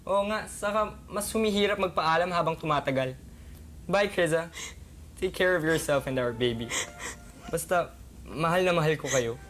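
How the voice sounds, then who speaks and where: A man's voice: a little high, normal speed, long pauses, a bit bright, pitched above the performer's own voice, natural voice. A young man with a Filipino accent playing a devoted, tender boyfriend in an amateur radio drama. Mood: loving farewell. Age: teenager or young adult. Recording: music playing behind the voice.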